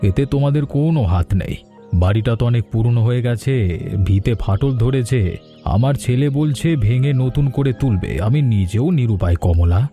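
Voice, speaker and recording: A man voicing a dark-voiced apologetic old landlord. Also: medium pitch, brisk, faint music behind, natural voice. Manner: regretful, hesitant. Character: older male landlord, apologetic and kindly. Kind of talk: animated cartoon voice acting.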